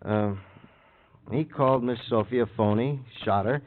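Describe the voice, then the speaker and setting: An actor playing an evasive, aggrieved murder suspect in a 1950s radio crime drama, defensive and hesitant in short broken sentences. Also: male voice, medium pitch, normal speed, slight background noise, close to an everyday voice. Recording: old radio, phone-like band.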